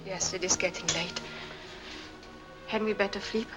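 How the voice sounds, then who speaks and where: An actress playing a troubled, guarded young immigrant widow in a dramatic, earnest 1940s film style. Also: female voice, medium pitch, steady tone, normal speed, close to an everyday voice. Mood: tender. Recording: old film soundtrack, music behind.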